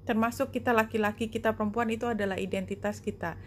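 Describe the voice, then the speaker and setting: A woman giving a spoken card reading to viewers, explaining reflectively in short phrases with pauses. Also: fairly high pitch, steady tone, slight background noise. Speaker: tarot and oracle card reader.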